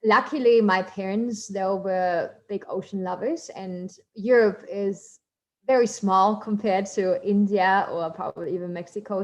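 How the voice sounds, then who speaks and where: Chatty German-accented woman. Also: mid-range voice. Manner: chatty, loose, lots of fillers and asides. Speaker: marine biologist guest speaker. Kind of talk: casual online talk.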